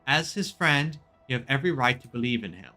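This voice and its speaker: A man's voice: medium pitch, animated, fairly quick, pushed and bright, soft background music. A man doing casual video game commentary as a streamer, chatting and reading lines aloud.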